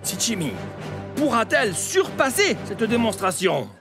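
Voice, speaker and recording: A man's voice: very high voice, sing-song, music in the background, full character voice. A man playing a pompous older town mayor in a cartoon, announcing in a grandiose, theatrical way. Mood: excited. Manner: grandiose, drawn-out announcer delivery.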